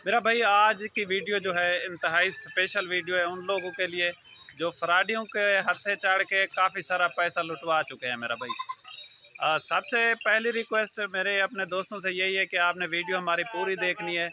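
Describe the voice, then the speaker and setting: A man's voice: high-pitched, fairly even intonation, normal speed, noisy background. Earnest man filming a selfie warning. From Pakistan. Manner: earnest, repetitive, keeps addressing the viewer as "my brother". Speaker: goat farm owner and channel host. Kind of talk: selfie-video warning talk to viewers. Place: outdoor goat farm pen, among goats and trees. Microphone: phone front camera at arm's length.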